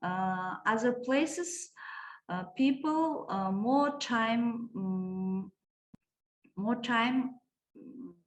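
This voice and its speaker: A woman from Kazakhstan, presenting a teaching project at a conference and pausing often to search for words. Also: on the high side, lively intonation. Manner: halting, searching for words, many hesitations. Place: conference room. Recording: echoey hall.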